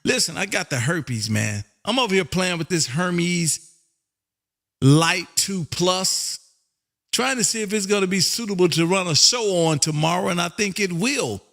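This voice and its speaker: An exuberant, folksy American man with a gravelly voice, chatting casually on air as a ham radio host and repeating his catchphrases. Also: high-pitched, expressive, measured pace, a bit bright, faint music behind. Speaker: amateur radio operator and livestream host.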